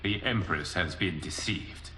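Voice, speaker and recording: An actor playing a solemn, noble protector of a realm in a video game cutscene, speaking formally, earnestly and with measured care. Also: male voice, sits low, bright, forceful tone, slight background noise, low-quality recording.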